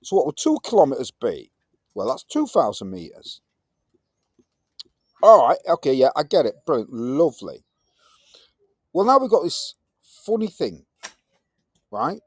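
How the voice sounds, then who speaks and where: A man in his fifties with a Yorkshire accent, teaching a science lesson in a chatty, lively manner with British slang. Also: a little high, unhurried, long pauses, husky, dry recording, studio quality. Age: 50s. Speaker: tutor. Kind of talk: recorded tutoring lesson.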